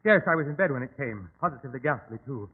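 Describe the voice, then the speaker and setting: A man's voice: mid-range voice, expressive, quick pace, slightly rough. A man playing a well-bred, dutiful, alarmed nephew in an old-time radio drama. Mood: uneasy, alarmed. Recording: tinny old radio recording.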